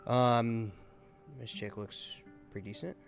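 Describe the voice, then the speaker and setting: A man's voice: medium pitch, level tone, gravelly, over music. Man doing casual game playthrough commentary. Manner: halting, thinking aloud. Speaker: gaming YouTuber.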